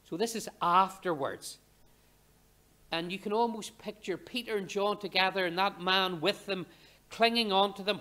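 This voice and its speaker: Older male preacher, slow measured delivery. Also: high-pitched, a bit bright. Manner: measured, pausing between short phrases, rhetorical questions. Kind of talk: sermon. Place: church pulpit, indoors. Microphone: lectern microphone.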